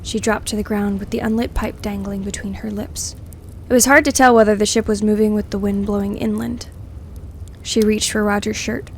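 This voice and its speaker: A woman narrating scripted fiction in an expressive, suspenseful, dramatic way. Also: medium pitch, normal speed, a little hiss or hum. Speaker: story narrator.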